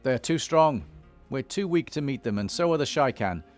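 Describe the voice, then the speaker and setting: An actor playing a resolute emissary and elder in a fantasy video game, firm, serious and authoritative. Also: male voice, medium pitch, expressive, normal speed, faint music behind, lightly acted. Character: commanding male dragon-blooded emissary and elder, resolute hero. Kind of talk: dramatic video game acting.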